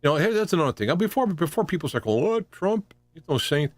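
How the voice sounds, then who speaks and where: A man's voice: fairly high pitch, sing-song, no echo. Rambling male talk radio host, dry sound. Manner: halting and rambling, often repeating and restarting phrases; opinionated, posing rhetorical questions. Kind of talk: unscripted political monologue on a live talk show.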